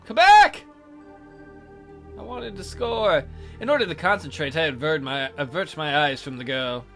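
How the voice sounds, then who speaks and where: A man's voice: on the high side, animated, a little slow, a bit bright, faint music behind. A man reading a visual novel aloud theatrically as a let's play host, with casual, jokey asides.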